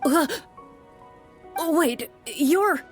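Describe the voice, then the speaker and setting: A woman acts out an anxious townsman in a video game. The voice is pitched up high, flustered and full of dramatic exclamations, with music behind. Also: animated, drawn-out words, heavily acted. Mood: startled. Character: anxious, excitable townsman pleading for help. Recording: background music under the voice.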